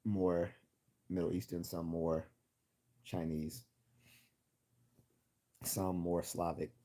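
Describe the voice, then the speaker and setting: Midwestern american guy chatting on his stream, really deep mellow voice, casual. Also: flat delivery, slow. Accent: general American, Midwestern. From United States. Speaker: livestream host. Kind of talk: casual livestream chat.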